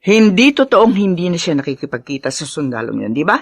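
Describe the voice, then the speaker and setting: A woman's voice: lowish voice, very animated, fairly quick, no echo. Stern Filipino mother with a swooping voice. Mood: suspicious. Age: middle-aged. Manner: stern, insistent, emotional rhetorical questioning. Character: stern, protective widowed mother of two grown daughters. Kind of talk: Filipino family drama acting.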